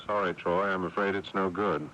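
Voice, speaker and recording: A man's voice: sits low, little pitch movement, normal speed, bright, forceful tone. An actor playing an uneasy, conciliatory man caught in a family mystery, speaking hesitantly in a radio drama. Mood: placating. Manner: hesitant, placating. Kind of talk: 1980s radio drama acting and narration. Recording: noisy old radio broadcast recording.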